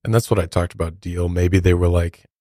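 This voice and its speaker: Deep-voiced man hosting a podcast. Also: steady tone, fairly quick, mellow, no echo, clean studio sound. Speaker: podcast host. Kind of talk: conversational true crime narration.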